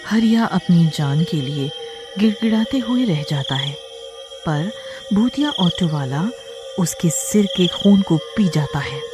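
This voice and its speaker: A man narrating a scripted horror story dramatically, with suspenseful, drawn-out phrasing. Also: sits high, animated, music in the background.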